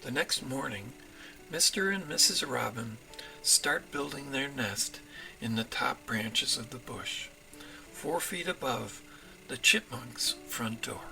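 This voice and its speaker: A man narrates a children's storybook expressively and takes his time. His voice is very bright and forceful with a creaky edge, over faint music. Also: mid-range voice, lively intonation, takes it slowly, rough recording. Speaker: author reading own storybook.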